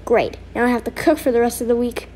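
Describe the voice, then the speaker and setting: A woman acting out lines as voiceover for an animated fan skit. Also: a little high, fast, slight background noise.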